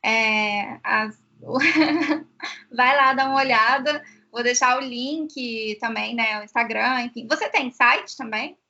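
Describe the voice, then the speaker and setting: Woman, Brazilian accent, casual host, bright tone, dull lo-fi recording. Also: a little high, slow. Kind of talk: casual chat.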